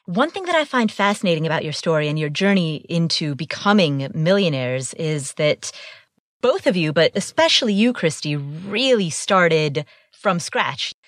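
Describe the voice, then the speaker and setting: A woman's voice: deep voice, expressive, normal speed, no echo, studio quality. A woman with an American accent speaking as a podcast host and interviewer. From Ohio, United States. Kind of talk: scripted podcast intro and interview.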